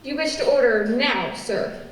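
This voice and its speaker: A woman's voice: on the high side, animated, measured pace, a little hiss or hum. A woman acting a polite, curious server taking an order in a radio play. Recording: very echoey, distant mic.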